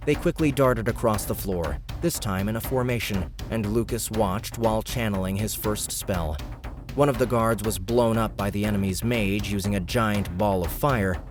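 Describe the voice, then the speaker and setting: A low-voiced man narrating an audiobook with a lively, rolling delivery. Music plays clearly beneath him. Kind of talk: scripted narration.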